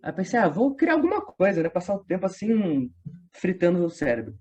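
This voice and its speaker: A man's voice: high-pitched, wildly swooping pitch, a little fast, darkish tone. A man telling a story casually as an interview guest, slangy and conversational. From São Paulo state, Brazil. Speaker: interview guest, audio drama creator. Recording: dull lo-fi online interview audio.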